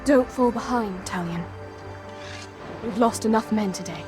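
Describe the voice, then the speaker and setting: An actress playing a tough young woman soldier in a fantasy game, brisk, forthright and urgent. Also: medium pitch, close to an everyday voice. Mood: tense, brisk. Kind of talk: cinematic fantasy game acting. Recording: orchestral music behind the voice.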